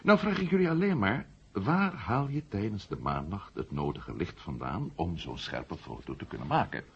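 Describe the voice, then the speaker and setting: Gravelly low-voiced maverick ex-astronaut. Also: male voice, sing-song, normal speed, exaggerated voice. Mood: insistent. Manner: argumentative, rhetorical, building his case point by point. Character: outspoken ex-astronaut turned maverick writer, male, confident and provocative. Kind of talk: 1970 Dutch radio drama acting. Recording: muffled old radio drama tape.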